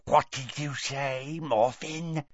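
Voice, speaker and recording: A man voicing a menacing, proud old father in a storybook reading, with a forceful, hissing delivery. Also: mid-range voice, steady tone, slow pace, dull audio, pitched above the performer's own voice, close to an everyday voice. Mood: sharp, suspicious. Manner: hissing, forceful, explodes into shouting.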